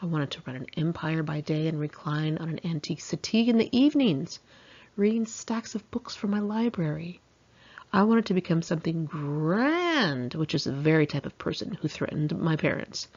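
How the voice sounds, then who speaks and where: A woman's voice: deep voice, expressive, moderate pace, darkish tone, slightly rough, highs cut off. An American woman reading her own memoir in a conversational, candid and wry, self-mocking way. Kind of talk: scripted audiobook narration, conversational.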